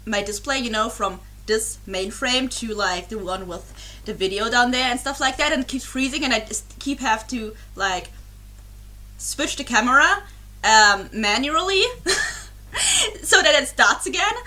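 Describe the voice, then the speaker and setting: Young woman, slightly high, crisp bright voice; rambling, giggly webcam chat. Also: animated, unhurried. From Germany. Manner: rambling and apologetic, many fillers and giggles. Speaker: reaction YouTuber. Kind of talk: casual chat to camera.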